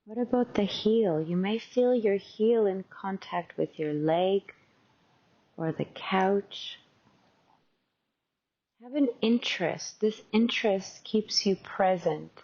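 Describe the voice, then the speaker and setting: A woman teacher leading a guided meditation in a gentle, unhurried, conversational and softly instructive way. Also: medium pitch, muffled recording.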